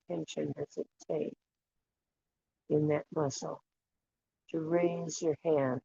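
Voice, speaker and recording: A guided imagery facilitator leading a relaxation meditation in a soothing, unhurried way, leaving long pauses between short phrases. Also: mid-range voice, warm tone. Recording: dull lo-fi audio with clear background noise and clicks.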